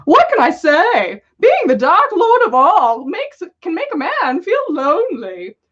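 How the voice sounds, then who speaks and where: A woman voicing a vain, whiny male dark lord in a haughty, self-pitying, theatrical way for a fan fiction story. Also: extremely high pitch, wildly swooping pitch, a little slow, lo-fi sound, pitched above the performer's own voice, full character voice. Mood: dramatic self-pity.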